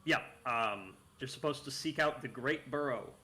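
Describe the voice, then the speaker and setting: A man acting as game master, answering a player in a casual, quick way out of character. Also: medium pitch, pushed and bright. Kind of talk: out-of-character table talk.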